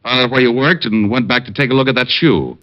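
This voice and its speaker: An actor playing a cocky, wisecracking private detective in an old-time radio drama, with glib, sarcastic delivery. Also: male voice, medium pitch, fast talker, lightly acted. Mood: wry, defiant. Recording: dull vintage radio recording.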